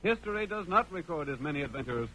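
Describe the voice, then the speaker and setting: A man's voice: fairly high pitch, expressive, fast talker, pushed and bright, slight background noise, rough recording. An American man narrating a vintage radio western in an emphatic, declamatory, dramatic scripted style. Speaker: announcer and narrator. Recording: old radio broadcast.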